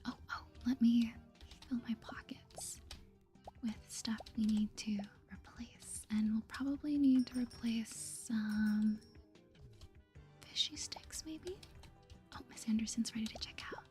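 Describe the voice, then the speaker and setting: A woman speaks in a soft, mellow whisper, slightly high in pitch, in an ASMR gaming style. Her manner is gentle and politely chirpy, like a friendly shopkeeper chatting with customers. Soft music plays behind her. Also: a little slow. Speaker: gaming video host. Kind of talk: soft-spoken whispered ASMR game playthrough.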